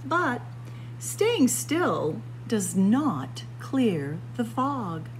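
A woman hosting a story time, reading a picture book to young children in a sing-song way with drawn-out phrases and dramatic pauses. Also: on the high side, very animated, a little hiss or hum, low-quality recording. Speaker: library story time host. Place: indoors among library bookshelves.

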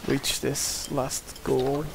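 A man's voice: mid-range voice, level tone, extremely slow, creaky, background noise. A young man from Portugal with a Portuguese accent, casually commenting on a game while he plays, mumbling to himself. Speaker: gameplay commentator.

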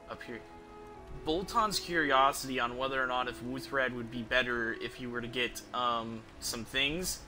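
A man's voice: medium pitch, sharp tone. A young adult man giving casual, rambling gameplay commentary as a streamer, indoors at home. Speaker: game streamer. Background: game music.

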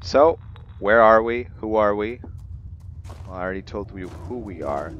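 Low-voiced man doing let's play commentary. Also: normal speed. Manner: explanatory, addresses viewers with rhetorical questions. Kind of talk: casual gameplay commentary. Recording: dull lo-fi mic, noisy background.

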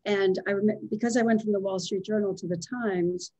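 A woman's voice: mid-range voice, steady tone, fairly quick, dark tone, highs cut off. A woman in her sixties from Pennsylvania, a writer speaking as a guest over a video call from her living room, thinking aloud as she answers. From small town in Pennsylvania. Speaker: guest, writer and former editor. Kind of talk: conversational video-call interview. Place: home living room with bookshelves.

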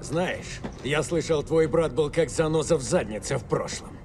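A man's voice: a little high, normal speed, noisy background, lightly acted. An actor voicing a middle-aged corrupt policeman, threatening and condescending, in a dubbed video game. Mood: smug menace. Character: menacing, corrupt male police officer. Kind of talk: dramatic video game dub acting. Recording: music under the dialogue.

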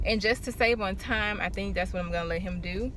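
A woman's voice: medium pitch, a little fast, noisy background. A young American woman talking in an informal, chatty way as she vlogs. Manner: informal, conversational. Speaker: vlogger. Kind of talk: casual chatty vlog.

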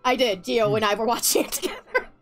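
Woman streamer, home desk mic: sarcastic, ranting, lively high pitch. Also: fast, faint music behind. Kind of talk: let's play commentary.

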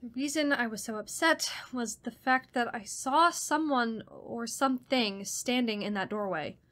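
A woman acts a frightened young woman in a radio play, insistent and haunted. Her voice is on the high side. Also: a little slow, fairly bright tone. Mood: haunted, fearful. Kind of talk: radio drama acting.

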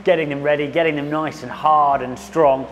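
A man's voice: on the high side, fairly even intonation, normal speed, a bit bright, slight background noise, rough recording. A man with an inquisitive, conversational manner, interviewing his guide on a factory tour. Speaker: interviewer and commentator.

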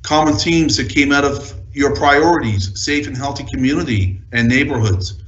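A man giving a formal slide presentation as a senior town official, reading out lists in measured short phrases. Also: medium pitch, a bit bright, echoey room, highs cut off, a little hiss or hum.

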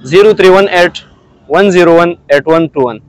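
A man's voice: sits high, normal speed, poor audio. A man with a Pakistani Urdu accent, a seller at an outdoor car market, giving casual and confident answers about his vans. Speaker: van seller.

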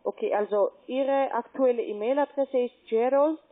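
A woman with a foreign accent speaks in a fairly high voice over a tinny, muffled phone line. She gives formal, repetitive instructions like a call-centre agent, in a halting non-native way. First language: not German.

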